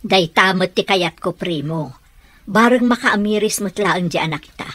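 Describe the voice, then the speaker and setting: A woman playing a concerned middle-aged mother in a radio drama, fretful and expressive about her child. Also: medium pitch, normal speed, no room sound, close to an everyday voice. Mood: wistful. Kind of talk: Ilocano radio drama acting.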